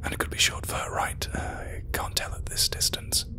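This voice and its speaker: A man playing a shaken scientist who records a tense log deep inside a cave. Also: normal speed. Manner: low hushed whispering, tense. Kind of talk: horror audio drama narration.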